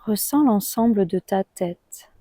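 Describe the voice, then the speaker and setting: A woman leads a guided relaxation with a soft, mellow tone. She speaks very slowly and calmly, leaving long pauses between phrases. The recording is close and dry. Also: medium pitch, no room sound. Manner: calm, soothing, unhurried with long pauses. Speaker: yoga nidra guide.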